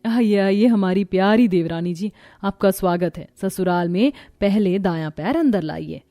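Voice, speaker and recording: A woman voicing a calm, welcoming eldest daughter-in-law in a cartoon, speaking in a soothing way. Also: mid-range voice, moderate pace, no echo, faint music behind, close to an everyday voice.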